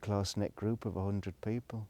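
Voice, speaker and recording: A man's voice: lowish voice, level tone, moderate pace, mellow. A middle-aged man, rambling reflectively in a casual solo monologue, hesitant and thinking aloud as he goes. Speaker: solo video blogger.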